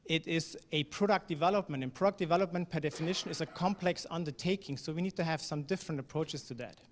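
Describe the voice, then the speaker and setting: German man, conference speaker, slightly high voice, conversational with ums, long hall reverb. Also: fast talker. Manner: conversational, fillers, occasional non-native phrasing. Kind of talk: conference talk.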